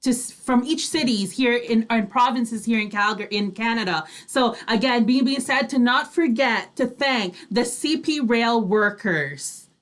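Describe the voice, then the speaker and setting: A woman's voice: a little high, measured pace, few pauses, fairly bright tone. A woman co-hosting an online radio show in a casual, effusive and affirming way. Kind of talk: casual chat.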